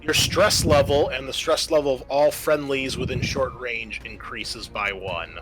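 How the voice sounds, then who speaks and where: A man running a tabletop roleplaying game as game master, narrating in a halting, deliberate way. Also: medium pitch, music in the background, low-quality recording.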